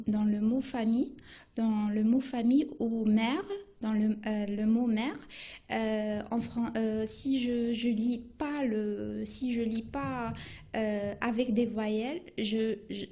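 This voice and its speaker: A woman whose first language is Arabic, teaching a beginner Arabic lesson in French on the radio, talking in a halting way with many restarts and fillers. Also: on the high side, warm tone.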